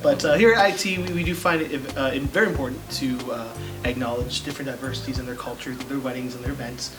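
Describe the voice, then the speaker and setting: Casting: male host, casual and halting, music bed clearly behind him. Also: medium pitch, poor audio. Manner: hesitant, many fillers and restarts. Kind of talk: casual chat.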